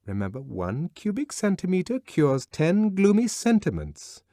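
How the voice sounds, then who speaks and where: A man reading an audiobook and voicing a conventional young woman who pleads in a high, quickly upset voice. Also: wildly swooping pitch, mellow, no echo, studio quality, exaggerated voice. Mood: cheerful, insistent. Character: young woman, cheerful, conventional, easily distressed. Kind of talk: audiobook character voice.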